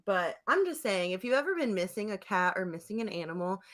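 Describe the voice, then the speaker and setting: A woman's voice: mid-range voice, very animated, a little fast. A woman hosting a podcast in casual comedic chat, with a playful, mock-dramatic and giggly manner. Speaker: podcast host.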